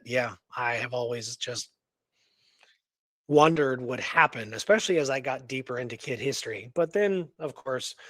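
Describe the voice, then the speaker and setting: A man's voice: mid-range voice, fairly bright tone, no echo. A man co-hosting a radio show, recounting history in a casual, enthusiastic, informal way.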